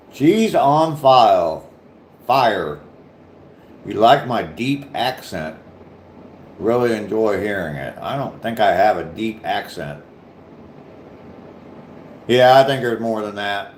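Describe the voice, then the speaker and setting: A deep-voiced man with a light southern Ohio accent talks to his livestream viewers in a loose, easygoing way. He speaks slowly and lets his sentences trail off softly. The echo of the room and a faint hum sit behind him. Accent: southern Ohio, slight Appalachian touch. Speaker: livestream host. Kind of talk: casual livestream chat reading comments.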